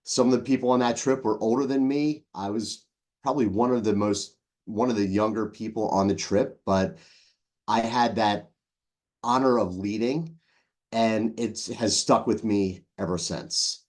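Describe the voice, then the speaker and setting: A man in his forties with a Philadelphia accent and a lowish voice, speaking as a podcast guest. He tells his career story in a relaxed, chatty way, with ums and quick restarts. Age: 40s. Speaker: guest, leadership coach. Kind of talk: casual interview.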